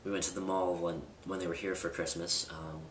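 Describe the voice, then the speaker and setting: A man's voice: deep voice, level tone, a little fast. A young man talking candidly in a personal vlog, rambling a little with fillers and restarts. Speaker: vlogger. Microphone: camera mic at a distance. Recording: roomy, distant, with loud background noise.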